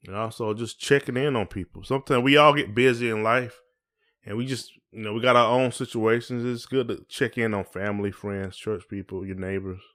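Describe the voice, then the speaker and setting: A man's voice: lowish voice, fairly even intonation, a little fast, fairly bright tone. A middle-aged American man hosting a podcast, chatting in a colloquial, reflective, conversational way. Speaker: podcast host. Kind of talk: casual chat.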